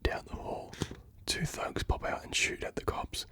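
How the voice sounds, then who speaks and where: A reader whispering screenplay stage directions in a hushed, unvoiced voice. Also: a little slow.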